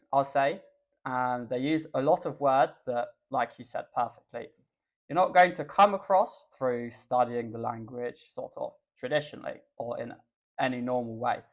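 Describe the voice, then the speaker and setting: A young Englishman with an English accent, a guest chatting in a podcast interview, relaxed and thoughtful with the odd um. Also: mid-range voice. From England. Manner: relaxed, thoughtful, with filler ums. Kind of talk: casual interview chat.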